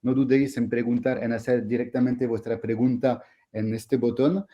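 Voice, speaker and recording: A man's voice: mid-range voice, steady tone, moderate pace, mellow, muffled recording. A man from France with a French accent, hosting an online masterclass in a hesitant way, with many fillers and restarts. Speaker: host.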